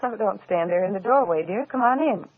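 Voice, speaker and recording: Sharp-tongued married society woman by an actress, theatrical, husky medium voice, narrow-band old radio play. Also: mid-range voice, animated, a little fast, natural voice. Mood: affectionate, chatty. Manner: polished, theatrical. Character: poised, sharp-tongued society wife of a conductor. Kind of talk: old-time radio drama acting. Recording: tinny old radio recording.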